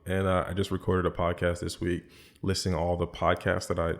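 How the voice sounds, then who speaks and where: A man's voice: low-pitched, completely flat delivery, a little fast. A man with an American accent, a podcast guest in casual chat, easygoing and rambling with many fillers and restarts. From born in the Bahamas, raised in the US.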